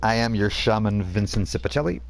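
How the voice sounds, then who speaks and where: A man voicing a brash New York wise guy who calls himself a shaman, with a Brooklyn accent and a pushy, hurried street manner. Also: lowish voice, vocal fry, voice pushed up.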